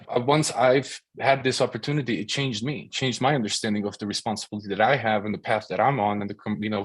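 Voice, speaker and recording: A man from the Czech Republic, a filmmaker speaking on an online panel, halting with many restarts and repeated words. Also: mid-range voice, slightly rough, no room sound. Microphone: video call.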